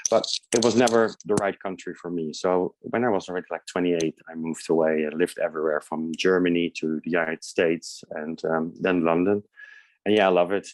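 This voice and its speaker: A man's voice: low voice, level tone, moderate pace, dry recording, low-quality recording. A man from the Netherlands, a podcast guest answering in a friendly, hesitant way with many fillers. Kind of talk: casual interview answers.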